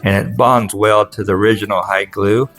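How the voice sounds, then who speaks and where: A man's voice: fairly low pitch, fairly even intonation, normal speed, a bit bright, faint music behind. A man giving casual, plain-spoken how-to narration as a craftsman, explaining things step by step in a folksy way. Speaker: furniture restorer and instructor.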